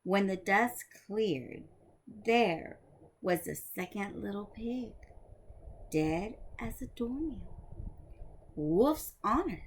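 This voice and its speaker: A woman's voice: mid-range voice, animated, slow, pauses often, husky, full character voice. A woman voicing a wronged male storybook wolf who excuses himself with mock innocence and dramatic storytelling. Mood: matter-of-fact, sly. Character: wronged male wolf telling his side, self-excusing.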